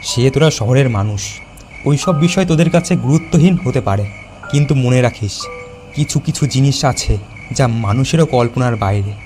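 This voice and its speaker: A man playing a worried, cautious young village schoolteacher in an animated horror story. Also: medium pitch, moderate pace, faint music behind, natural voice. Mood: worried, warning. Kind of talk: acted cartoon dialogue.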